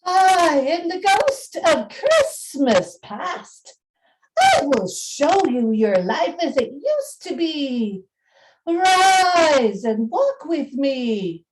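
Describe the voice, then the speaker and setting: Woman acting gentle spirit, high voice, unhurried, probing, declamatory, radio play. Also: big pitch swings, a little hiss or hum, full character voice, poor audio. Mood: commanding.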